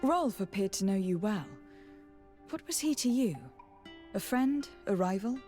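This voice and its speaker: A woman's voice: mid-range voice, animated, a little slow, warm tone, soft background music, natural voice. An actress playing a composed, direct young noblewoman in a video game, poised and articulate. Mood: curious, fond. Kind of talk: video game dialogue acting.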